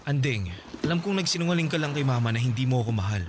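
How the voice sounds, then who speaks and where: An actor playing a devoted, stubborn young college student in love, pleading emotionally in a radio drama. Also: male voice, mid-range voice, animated, very fast, lightly acted. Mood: hurt, questioning. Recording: clearly audible background noise.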